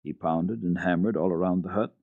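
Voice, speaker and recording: A man's voice: low-pitched, fairly even intonation, brisk, no echo. A man narrating a children's classic as a radio storyteller, reading dramatically. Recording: dull archival radio tape, dry.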